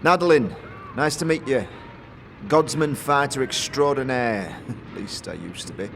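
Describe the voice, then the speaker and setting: Wizened, rambling old storekeeper. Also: male voice, medium pitch, expressive, unhurried, gravelly, background noise. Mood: wry, sly. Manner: rambling, hesitant, chuckling old-timer. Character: wizened, sly, forgetful old male storekeeper. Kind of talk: fantasy audio drama character acting.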